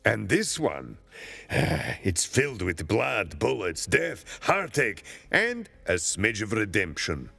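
An actor playing a sardonic, folksy storyteller who narrates a video game tale with dramatic drawl. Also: male voice, lowish voice, big pitch swings, slow, creaky, bright, forceful tone, breathy edge, full character voice. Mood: wry, ominous.

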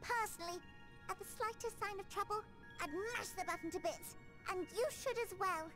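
A woman's voice: very high-pitched, normal speed. A woman voicing a small, chatty, fussy rabbit engineer in a video game, earnest and chirpy. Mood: earnest advice. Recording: music and tinkling behind voice.

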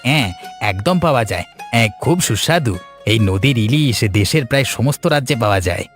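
A man's voice: mid-range voice, lively intonation, quick pace, a bit bright, faint music behind, close to an everyday voice. A man voicing a nosy, affable villager in a cartoon story, who repeats his words and mutters hesitant asides. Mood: agreeable. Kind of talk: Bengali cartoon story voice acting.

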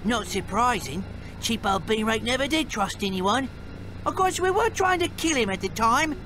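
A man's voice: very high-pitched, lively intonation, moderate pace, background noise. A man playing a shifty, scrappy robot pirate in a video game, with cartoonish pirate talk. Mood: wheedling, chummy. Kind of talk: video game character acting.

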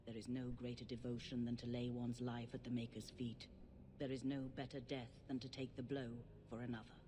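An actress playing a calm, pious, dignified elderly high priestess in a video game, measured and authoritative. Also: female voice. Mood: serene, devout. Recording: long reverb, faint rumbling noise.

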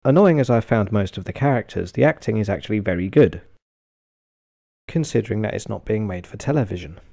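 A British man giving a scripted review narration in a deadpan, sardonic, sweary manner. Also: fairly low pitch, a little fast, gravelly. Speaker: film reviewer.

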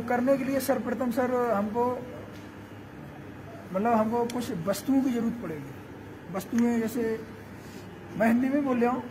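A man's voice: very high voice, background noise. A young man working as a welding foreman, explaining safety gear earnestly in an on-site interview in a large workshop shed. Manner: earnest, explains while holding up his gear.

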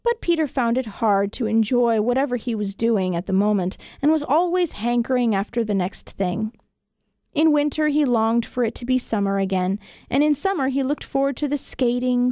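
A woman narrates children's folktales as a storyteller. Her voice is fairly high and moves around with lively expression, recorded dry and close in a studio. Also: normal speed, no room sound. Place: radio studio.

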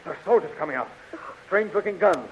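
A man's voice: a little high, animated, quick pace, close to an everyday voice. A man playing a brave young space adventurer, urgent and earnest, in 1930s radio serial style. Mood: reassuring. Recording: muffled, hissy 1930s radio recording.

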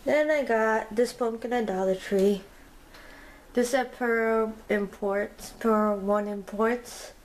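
A woman filming a casual tour of her home and naming each item as she goes, pausing and restarting often. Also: on the high side, poor audio. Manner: halting, with frequent ums and restarts. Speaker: vlogger giving a home decor tour. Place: inside a home, walking room to room. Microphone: handheld camera held by the speaker.

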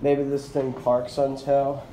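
A young man narrates slowly into his handheld phone while riding a mall elevator, admiring the buttons and remarking on what he sees. The cab is echoey and boxy. A faint ambient hum sits behind his voice. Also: mid-range voice, monotone, warm tone. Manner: enthusiastic, slow and remarks to himself. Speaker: elevator video channel host. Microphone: handheld phone camera.

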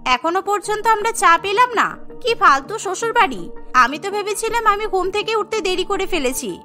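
A woman's voice: extremely high pitch, animated, normal speed, sharp tone, exaggerated voice. A woman voicing pushy, entitled young brides who exclaim and complain in a cartoon story. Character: demanding, entitled young sisters-in-law, newly married brides. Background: background music.

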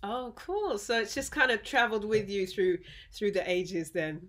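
A woman hosting an interview over a video call from home, upbeat and quick to laugh, with short encouraging replies. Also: medium pitch, animated, fairly bright tone. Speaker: interview host. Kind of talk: casual interview chat. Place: home room.

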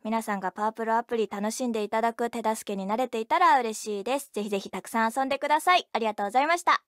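A woman's voice: fairly high pitch, rapid-fire, fairly bright tone, dry recording, clean studio sound. A woman, a voice actor, giving a polite recorded message to game players.

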